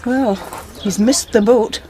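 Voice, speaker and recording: A woman's voice: a little high, fairly even intonation, takes it slowly, mellow, slight background noise, poor audio. An actress playing a disapproving, loyal senior woman nurse with a British accent, starchy and sceptical, in a period radio drama.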